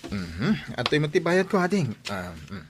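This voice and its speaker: A man's voice: medium pitch, big pitch swings, moderate pace, natural voice. A bashful, lovestruck young man played by a male actor in a radio drama. He is hesitant and flustered, with a mid-range voice that swings up and down, over faint background noise. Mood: awkward.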